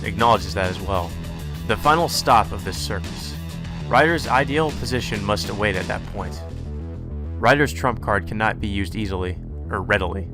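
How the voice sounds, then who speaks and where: A man reading visual novel narration aloud for a let's play, in a deliberate, dramatic manner. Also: lowish voice.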